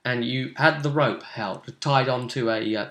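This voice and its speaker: A man's voice: mid-range voice, unhurried, pushed and bright. A man chatting casually and animatedly as a game master, recapping a tabletop role-playing session. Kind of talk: casual chat. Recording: roomy, echoey room sound.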